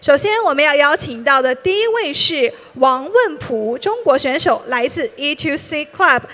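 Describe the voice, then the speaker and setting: A woman's voice: high-pitched, expressive, normal speed, fairly bright tone. A woman hosting a live esports event on stage, introducing players in Mandarin and English with upbeat, formal announcer phrasing. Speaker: bilingual event emcee.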